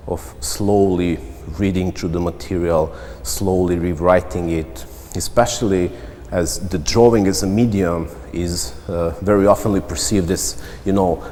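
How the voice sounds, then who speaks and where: A man from central Serbia, an artist answering interview questions in a reflective, halting way with frequent restarts. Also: low-pitched, a little mellow. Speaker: visual artist. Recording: long reverb, faint background noise.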